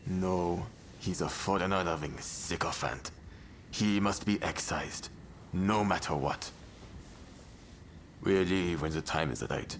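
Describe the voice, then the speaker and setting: Stern samurai rebel for a video game, low voice, hard and ruthless, some noise behind. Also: male voice, slow pace. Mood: grim, resolute. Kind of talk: video game cutscene acting.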